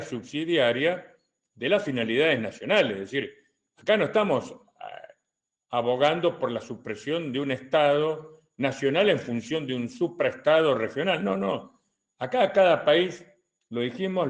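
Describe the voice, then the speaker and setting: Older Argentine man giving didactic commentary. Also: medium pitch. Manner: didactic, deliberate, emphatic with repetition. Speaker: retired colonel and political commentator. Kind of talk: spoken political commentary answering listener questions.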